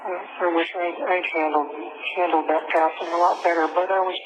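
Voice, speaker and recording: A man talking haltingly and casually as an inmate on a private telephone call. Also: sits high, flat delivery. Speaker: jail inmate on a phone call. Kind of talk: casual private phone conversation. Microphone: telephone handset. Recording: noisy jail phone line recording.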